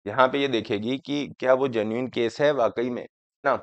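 Hoarse man teaching a class. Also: mid-range voice, steady tone, dry recording. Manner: explaining slowly, often asking students if it is clear. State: hoarse throat from a cold. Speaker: exam coaching teacher. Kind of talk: lecture on current affairs, Hindi mixed with English. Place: studio classroom, in front of a screen. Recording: dry, slightly dull sound.

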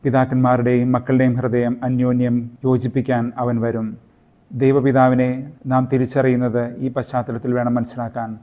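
A man from Kerala delivers a scripted sermon as a podcast host. His voice is mellow and dark, and it stays level and even from phrase to phrase. He talks in a measured way and slips English phrases into his reflection. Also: medium pitch. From Kerala, India. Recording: intro with music and roomy hall reverb.

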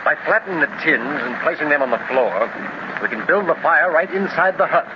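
A man as Clint, a steady commanding leader. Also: a little high, fairly quick, lo-fi sound, natural voice. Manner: steady, giving orders. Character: Clint, grown-up leader of the adventurers, calm and commanding. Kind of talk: 1930s radio acting. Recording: scratchy old radio transcription with hiss.

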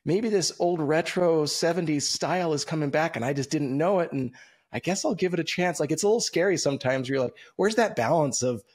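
A man in his thirties hosting an interview over a video call from a room with bookshelves, speaking in a relaxed, reflective, conversational way. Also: a little high, a little fast, slightly rough. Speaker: podcast host. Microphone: video call, webcam.

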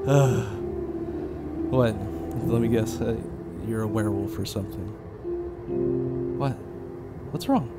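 A man's voice: medium pitch, animated, takes it slowly, long pauses, over loud music. A man playing an impatient, wisecracking young sidekick in an audio drama, nervy and flippant. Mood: curious, flippant.

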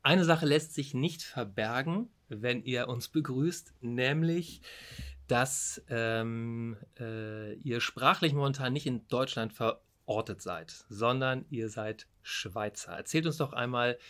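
Man, podcast host, friendly, curious questions, steady mid pitch. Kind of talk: podcast interview hosting.

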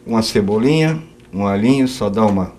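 A middle-aged man with a fairly low voice hosts a cooking segment in a TV studio kitchen. He talks casually as he cooks and explains every step. There is a faint hiss under the audio. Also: animated, a little fast, darkish tone. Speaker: cooking show host. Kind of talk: casual chat while cooking.

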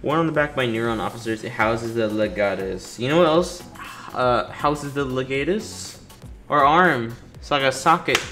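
A man's voice: medium pitch, moderate pace, pushed and bright. A man giving casual let's play commentary as a gaming video host, griping with impatient sarcasm. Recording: faint game music behind.

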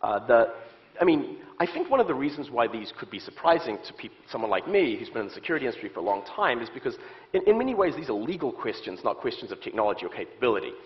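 A man's voice: medium pitch, big pitch swings. A man in his thirties with a New Zealand accent, a security expert answering interview questions on a stage, often restarting his sentences. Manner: halting, many restarts and fillers. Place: stage set with audience, indoors. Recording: muffled stage audio.

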